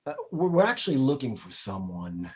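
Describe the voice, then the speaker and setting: A man playing a concerned, polite priest in a screenplay table read, husky, hesitant and earnest. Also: medium pitch, big pitch swings, fairly quick.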